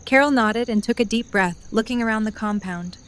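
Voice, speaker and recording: A woman's voice: fairly high pitch, fairly quick, clear, resonant tone. A woman narrator reading a scripted story for an audio drama.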